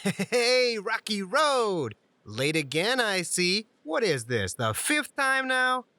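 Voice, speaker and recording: A woman's voice: medium pitch, big pitch swings, slow pace, sharp tone, dry recording, exaggerated voice. A woman acting a smug, needling young co-worker in a radio drama, with a mocking, singsong delivery. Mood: smug, teasing.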